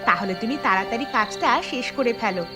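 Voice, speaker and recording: A woman's voice: fairly high pitch, quick pace, lightly acted. A woman playing an eager, coaxing village wife in a cartoon. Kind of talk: Bengali cartoon story voice acting. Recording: music behind the voice.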